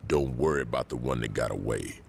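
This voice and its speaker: Very deep, gravelly gang boss. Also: male voice, a little hiss or hum. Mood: stern. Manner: slow, authoritative, stern. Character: calm, commanding older male gang boss. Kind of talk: video game cutscene acting.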